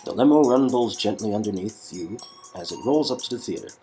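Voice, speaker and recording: Guy reading out a story game, lively up and down delivery, chatty asides. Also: on the low side, fairly quick, faint music behind. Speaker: narrator of an interactive story. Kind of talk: playful story narration with casual asides.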